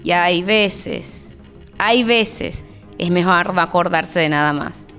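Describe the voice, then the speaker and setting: A woman's voice: on the low side, slow, fairly bright tone. A woman playing a defiant, sardonic evictee in a radio play. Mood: bitter. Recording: music running under the voice.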